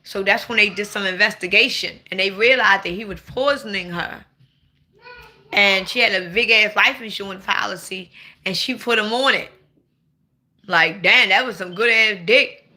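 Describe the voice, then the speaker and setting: Woman, 40s, very bright sharp tone, blunt, playful, loud bursts. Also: medium pitch, normal speed. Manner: blunt, playful, swings to sudden loud outbursts. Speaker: livestream host. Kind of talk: casual livestream chat.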